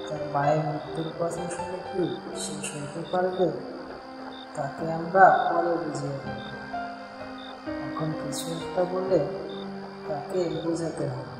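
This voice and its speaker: A performer playing a gentle, protective pigeon uncle in a children's cartoon, consoling and explaining things. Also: mid-range voice, steady tone, measured pace, long pauses, lightly acted. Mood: concerned. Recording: very echoey long reverb, music behind.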